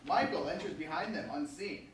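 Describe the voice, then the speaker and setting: A man's voice: high voice, moderate pace. A man reading stage directions as scripted narration for a staged play reading. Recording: echoey room, distant mic, faint noise.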